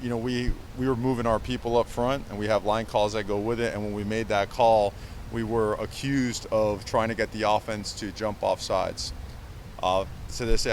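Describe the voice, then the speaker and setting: A man's voice: fairly low pitch, a little hiss or hum. A man coaching football, answering reporters in a press conference, emphatic and full of fillers and repeated words. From Cuba.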